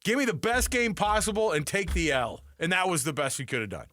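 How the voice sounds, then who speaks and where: A man hosting sports radio in casual, animated talk, emphatic and repeating himself for effect on a close studio mic. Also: high voice, fairly quick, no room sound. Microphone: close studio microphone.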